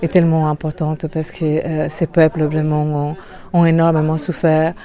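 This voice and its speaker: A middle-aged woman from Colombia, speaking as an interview guest, hesitant with many pauses and fillers. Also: low voice, monotone, mellow. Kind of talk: interview conversation.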